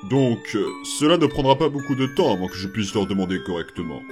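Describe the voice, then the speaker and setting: A man voicing a gentle, hesitant skeleton father. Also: on the low side, animated, faint music behind, lightly acted. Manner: hesitant, soft-spoken, full of small pauses and fillers. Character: gentle patient adult male skeleton caring for strange children. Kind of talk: fan comic dub voice acting.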